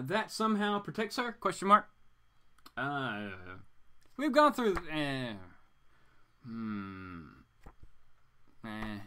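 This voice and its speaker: A man hosting a casual livestream, chatting to viewers in a rambling, animated, geeky way. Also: on the high side. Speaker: host of a comics reading show.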